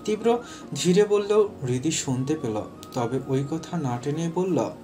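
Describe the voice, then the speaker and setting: A man's voice: fairly high pitch, lively intonation, measured pace, dark tone, faint music behind. A man narrating a scripted romantic story as its storyteller.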